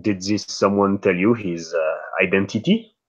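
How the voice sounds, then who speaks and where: A man playing a suspicious, stiffly polite gentleman guest in a mystery roleplay, halting and deliberate, on a dull microphone. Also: low voice. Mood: probing. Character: wary, formal gentleman guest, suspicious and measured. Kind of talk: improvised roleplay dialogue.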